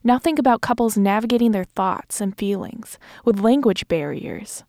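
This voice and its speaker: A woman's voice: medium pitch, moderate pace, a little mellow, dry recording, clean studio sound. A woman hosting a podcast segment, delivering scripted narration in a conversational way. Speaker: podcast host.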